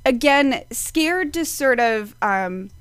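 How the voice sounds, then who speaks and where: A woman's voice: high voice, expressive, slow pace, clean tone, dry recording. A woman, a psychic medium, chatting casually as a podcast guest, laughing often and restarting her sentences. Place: studio.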